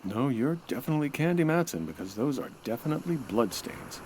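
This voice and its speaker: A man playing a suave, flirtatious homicide inspector in a comedic 1940s-style radio drama, smooth-talking and gently teasing. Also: medium pitch, very animated, fairly quick. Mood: businesslike.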